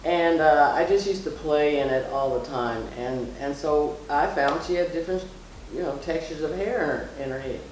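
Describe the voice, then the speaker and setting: A woman's voice: sits low, normal speed, darkish tone. Middle-aged mother, casual reminiscer, emphatic repetition, echoey room, off the mic. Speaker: mother being interviewed. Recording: echoey, distant mic, faint noise.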